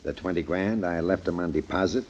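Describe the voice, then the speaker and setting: Low-voiced cocky radio gangster. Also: male voice, a little fast, darkish tone. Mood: smug. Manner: mocking, wisecracking, slangy. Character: cocky, sneering gangster, a fight-fixing gambler. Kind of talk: 1940s radio acting. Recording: muffled old 1940s radio recording.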